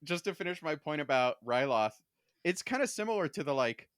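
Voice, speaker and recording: A man's voice: on the high side, sing-song, fairly quick, a bit bright, clean tone, dry recording, studio quality. A man co-hosting a podcast in casual pop-culture discussion, with a wry manner and casual swearing. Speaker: podcast co-host.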